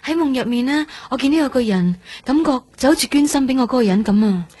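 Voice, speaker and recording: High-voiced dreamy young woman. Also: animated, fast, mellow, breathy edge, dry recording. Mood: light protest. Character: young woman recovering from heart transplant, dreamy, gently stubborn. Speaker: actress in a radio drama. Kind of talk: Cantonese radio drama acting with first-person narration.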